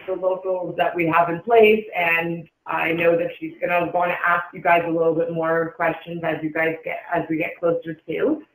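A man's voice: high-pitched, a bit bright. A man giving an informal conference presentation as an event organizer, speaking in a meeting room. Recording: roomy, echoey conference call room.

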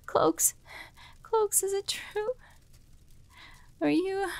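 A woman's voice: extremely high pitch, drawn-out words, creaky, no echo, full character voice. A woman playing a timid, eager young waitress girl in an audio drama, sweetly earnest and hesitant, with a girlish voice. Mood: frightened.

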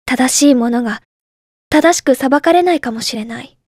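A woman's voice: high-pitched, moderate pace, darkish tone, dry recording, clean studio sound. An actress playing an earnest young female legal assistant in a game, speaking in a very formal and polite way. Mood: remorseful. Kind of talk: game voice clip. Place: studio.

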